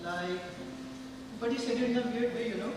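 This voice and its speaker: A man acting in an amateur stage play as an earnest teenage friend, speaking haltingly and deliberately with pauses. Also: very high-pitched, over music. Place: large indoor theater hall stage. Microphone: distant camera or room microphone. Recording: echoey hall from far off.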